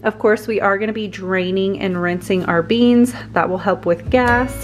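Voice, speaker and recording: A woman's voice: medium pitch, steady tone, moderate pace, faint music behind. A woman in her thirties, a mom and vlogger, talking casually and upbeat while cooking at home, laughing often. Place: home kitchen, indoors.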